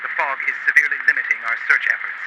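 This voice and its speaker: A man's voice: mid-range voice, fairly even intonation, racing. A man playing a formal Coast Guard radio operator, speaking in clipped, procedural phrases through a radio filter. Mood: steady, procedural. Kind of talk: scripted maritime radio dispatch acting. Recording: heavy static noise, maritime radio.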